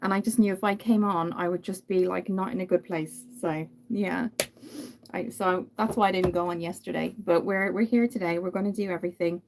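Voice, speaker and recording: A young Irish woman from Dublin chatting casually on a livestream while doing her makeup, greeting viewers by name and filling pauses with frequent ums. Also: on the low side, steady tone, creaky, darkish tone, a little hiss or hum. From Ireland.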